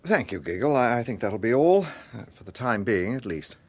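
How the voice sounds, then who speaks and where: An actor with an English accent playing a courteous, methodical gentleman police detective in a British radio drama, polite and measured as he probes. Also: medium pitch, big pitch swings. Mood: polite, firm.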